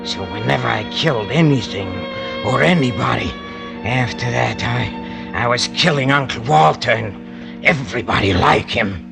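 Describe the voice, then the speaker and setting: An actor playing a bitter, cold-blooded hired killer who confesses in a brooding, halting way, in vintage radio drama style. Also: male voice, medium pitch, expressive, creaky. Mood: brooding hatred. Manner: brooding, halting, repeats himself with menace. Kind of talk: 1940s-50s radio drama acting. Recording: old radio broadcast with music underneath.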